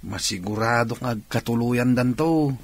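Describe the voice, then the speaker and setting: A man's voice: mid-range voice, moderate pace, natural voice. A man playing a confident older brother in an Ilocano radio drama. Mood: assured.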